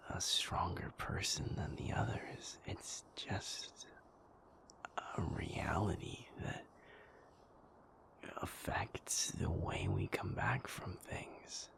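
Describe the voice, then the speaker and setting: Man playing a whispering, creaky, gentle male werewolf. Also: full character voice. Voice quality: breathy, creaky low whisper. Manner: soothing, halting, reassuring delivery. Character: gentle, patient male werewolf comforting a sleepless partner. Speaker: audio roleplay performer. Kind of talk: intimate whispered audio roleplay.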